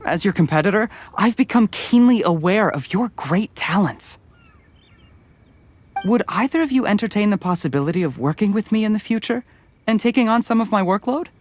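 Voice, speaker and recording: A poised, courteous young official. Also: male voice, high voice, animated, normal speed. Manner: courteous, formal. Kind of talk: game dialogue.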